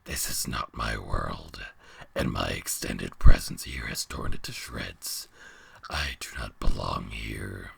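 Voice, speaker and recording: A man voicing a weary, sorrowful giant beast in a slow, grave, breathy growling whisper for a dramatic fantasy story reading. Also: very deep voice, a bit bright, dry recording, voice pushed down, exaggerated voice. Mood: grave, regretful. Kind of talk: dramatic fan fiction reading.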